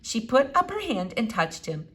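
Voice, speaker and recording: A woman narrating a children's novel as an expressive read-aloud. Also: a little high, moderate pace. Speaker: storybook reader.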